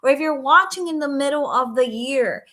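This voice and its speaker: A woman's voice: high-pitched, expressive, normal speed, a bit bright. A woman from Puerto Rico with a Puerto Rican Spanish accent, Spanish her first language, hosting a casual live stream from home at night, earnest and chatty with frequent "right?" and "um". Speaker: life coach hosting a livestream.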